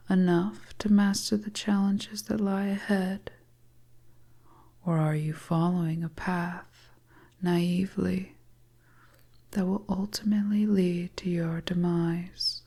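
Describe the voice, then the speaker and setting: Woman playing a slow, whispering seductive witch. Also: lowish voice, warm tone. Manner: slow, coaxing, hypnotic whispering. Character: seductive, commanding witch fortune-teller, slowly hypnotizing her listener. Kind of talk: whispered ASMR hypnotic roleplay.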